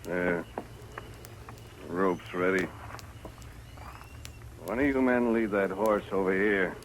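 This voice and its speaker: Low-voiced, menacing American lynch-mob leader. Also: male voice, slow. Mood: taunting, menacing. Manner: taunting, menacing. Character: cruel vengeful man leading a Wild West lynching. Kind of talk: 1950s radio western acting. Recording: noisy vintage radio drama recording.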